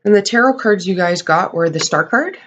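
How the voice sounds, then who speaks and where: Hoarse tarot reader, crackly voice, chatty, keeps checking in with viewers, clears throat a lot. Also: medium pitch, animated, fairly quick, fairly bright tone. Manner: chatty, often checks in with "okay?". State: hoarse, losing voice. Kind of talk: casual monthly tarot reading to camera.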